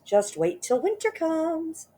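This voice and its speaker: Woman doing a chirpy friendly bird, high and singsongy. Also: sing-song, slow pace, mellow, slight background noise, heavily acted.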